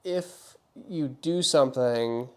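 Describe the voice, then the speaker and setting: A man's voice: mid-range voice, slow pace, darkish tone. Man explaining things like a reassuring therapist. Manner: reassuring, checks understanding often. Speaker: physical therapist. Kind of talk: casual explanatory consultation talk with a patient.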